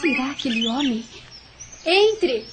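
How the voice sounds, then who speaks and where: A woman playing a gentle, polite, earnest young heiress in an audio drama. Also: high-pitched, expressive, slow, noisy background. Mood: courteous.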